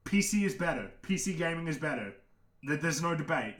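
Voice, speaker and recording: A young man with a naturally deep voice hosts a casual livestream, giving frank, opinionated takes with frequent restarts, occasional swearing and laughter. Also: lively intonation, brisk, fairly bright tone, reverberant. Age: young adult. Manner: laughs often. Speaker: livestream host answering chat.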